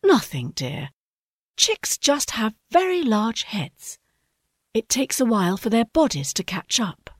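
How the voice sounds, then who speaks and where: A woman's voice: fairly high pitch, huge pitch swings, slow pace, breathy edge, no room sound, studio quality, pitched above the performer's own voice, heavily acted. A woman voicing a fussy, anxious mother barn owl in a storybook reading, with a nervous, fluttery manner. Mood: gentle.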